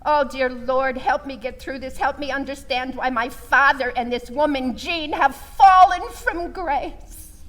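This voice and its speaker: A woman's voice: sits high, unhurried, fairly bright tone. A woman playing a pious, melodramatic, judgmental storyteller in a theatrical comic monologue, with a pleading, exaggerated delivery. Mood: fervent, pleading.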